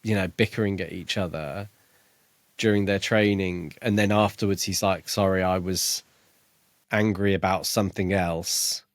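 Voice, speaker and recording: Lowish male podcast host, casual book chat, kinda halting thinking out loud, very dry recording. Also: fairly even intonation, no room sound. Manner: tentative, halting, thinking aloud.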